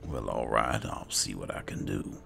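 Very deep, gravelly man. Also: moderate pace, bright, forceful tone. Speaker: gamer roleplaying as an alchemist. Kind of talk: in-character gameplay roleplay commentary.

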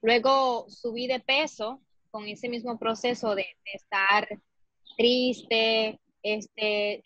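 A woman's voice: on the high side, fairly bright tone, dry recording. A Dominican woman in her twenties with a Cibao accent, a guest answering interview questions earnestly and reflectively over a video call. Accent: Dominican Spanish, Cibao. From Dominican Republic. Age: 20s. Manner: earnest, reflective, many fillers. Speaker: guest, fitness model. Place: small room indoors. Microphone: laptop or phone mic on video call. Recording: muffled video call audio.

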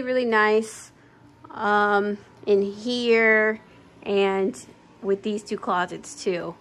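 Slow-talking young woman giving a tour. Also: on the high side. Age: young adult. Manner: relaxed, chatty, with frequent ums. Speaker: home vlogger. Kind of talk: casual house tour vlog. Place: empty house, walking room to room. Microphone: phone camera held while walking.

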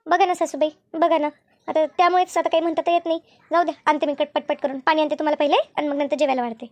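A woman voicing a bossy, sly elder sister-in-law in a cartoon family drama, brisk and repetitive as she instructs. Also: high-pitched, level tone, darkish tone, a little hiss or hum, close to an everyday voice. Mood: placating, sly. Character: bossy, two-faced elder sister-in-law running the kitchen.

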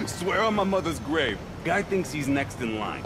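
An actor playing a brisk, dutiful American mob henchman in a video game, talking in casual street slang. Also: a little high, big pitch swings, noisy background. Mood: amused. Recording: loud game music and street sound.

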